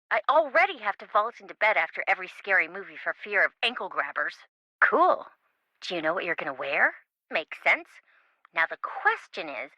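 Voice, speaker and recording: A woman's voice: mid-range voice, sharp tone, dry recording, dull audio. An actress playing a sassy young woman, a wisecracking best friend in a video game, with a teasing, sarcastic manner. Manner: teasing, sarcastic, dryly exaggerated.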